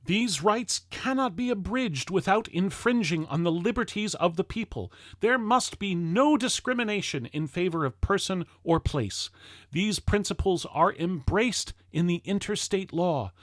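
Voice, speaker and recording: A man reading an old-fashioned political address aloud in a formal, declamatory and emphatic manner with deliberate phrasing. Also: high voice, expressive, no echo, studio quality. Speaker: reader of a historical political speech. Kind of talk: formal oratory, read-aloud 19th-century address.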